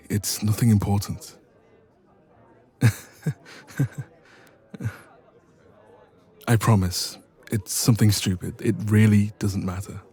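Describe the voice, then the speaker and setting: A man's voice: on the low side, warm tone, husky. A man playing a bashful, evasive best friend with a secret crush in an intimate romance audio roleplay. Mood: flustered, evasive. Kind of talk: romance ASMR roleplay, intimate one-sided conversation.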